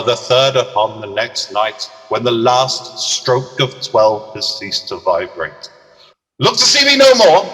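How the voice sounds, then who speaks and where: Solemn male spirit, played by an actor, radio drama, sharp forceful tone, declamatory, long echo. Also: fairly low pitch. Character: grave male ghost of a dead business partner. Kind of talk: radio play acting. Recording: long echoing reverb.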